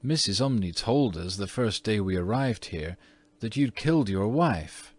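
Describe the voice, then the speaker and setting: A man's voice: fairly low pitch, lively intonation, measured pace, slightly rough, dry recording. A man voicing a prim, spirited young American girl in a storybook, earnest and scolding. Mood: stern. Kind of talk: storybook character reading.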